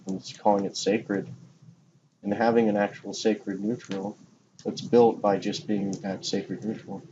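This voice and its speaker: Low-pitched man, muffled noisy recording. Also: dark tone. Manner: rambling, circling back over phrases. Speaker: talk show co-host. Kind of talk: casual spiritual discussion. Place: informal recording by a fire. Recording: muffled lo-fi, clear background noise.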